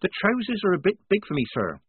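A practical young woman posing as a boy soldier, put on by a man, answering dutifully and thinking fast. The voice is raised high and sounds husky. It comes through dry and tinny, like a phone line. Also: wildly swooping pitch, dry recording, heavily acted. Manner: dutiful, quick-witted.

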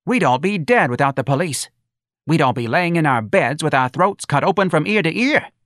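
A man's voice: on the high side, animated, moderate pace, a bit bright, dry recording, clean studio sound, pitched above the performer's own voice. A man voicing a protective, indignant older working-class mother who scolds and pleads in colloquial speech. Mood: righteous, pleading.

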